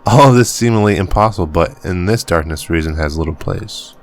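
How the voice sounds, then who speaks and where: Deep-voiced man narrating a game. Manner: halting reading, stumbles over words and laughs it off. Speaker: gaming channel host. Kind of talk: reading game text aloud as narration.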